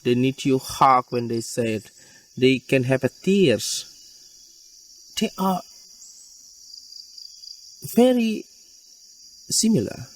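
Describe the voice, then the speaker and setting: A man, a wildlife rescue worker, speaking earnest and halting English in an interview outdoors. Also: medium pitch. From Indonesia. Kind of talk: interview answers. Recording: distant mic, faint insect noise.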